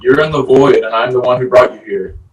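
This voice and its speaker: A man's voice: medium pitch, brisk, muffled recording, lightly acted. A sarcastic, laid-back teenage merman played by a man teases in a casual table-read delivery. The recording is echoey, a little far from the mic, with faint noise. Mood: amused, casual. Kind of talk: screenplay table read acting.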